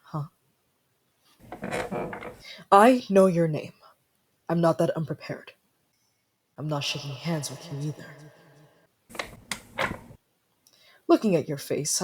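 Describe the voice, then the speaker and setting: Casting: an actor as a proud young fantasy prince of frost, tsundere temper, husky mid-pitched voice, formal and prickly. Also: close to an everyday voice. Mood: haughty, cold. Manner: indignant, formal, dramatic sighing delivery. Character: young haughty, easily flustered ice prince, tsundere temper. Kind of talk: fantasy audio roleplay acting.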